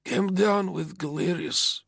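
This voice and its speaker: A man voicing a dying wounded male soldier who talks slowly with weak, strained gallows humor. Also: high voice, vocal fry, fairly bright tone, no room sound, studio quality, pitched above the performer's own voice, heavily acted. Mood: wry, strained. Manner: slow, grinning gallows humor.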